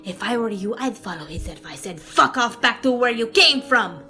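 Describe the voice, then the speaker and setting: A woman acting an eccentric, imperious artist spirit in a fan audio drama, with a dramatic, drawn-out, declamatory delivery. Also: a little high, animated, pushed and bright, soft background music. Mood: furious, defiant.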